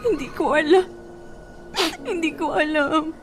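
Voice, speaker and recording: A woman's voice: high voice, a little slow, slight background noise. An actress playing a heartbroken young woman whose boyfriend cheats, pleading and tearful, in a radio drama. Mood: crying.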